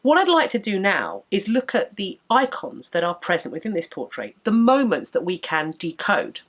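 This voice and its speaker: A woman in her thirties with a blocked nose and sore throat, giving a measured, articulate history lecture to camera from her home study. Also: mid-range voice, very animated, a bit bright. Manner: measured, articulate, enthusiastic explainer. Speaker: history educator and channel host. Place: home study with bookshelves, indoors.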